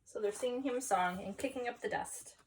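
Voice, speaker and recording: A woman's voice: a little high, brisk, recorded from a distance, a little hiss or hum, low-quality recording. A woman reading a picture book aloud to children as a school story time reader, adding simple explanatory asides.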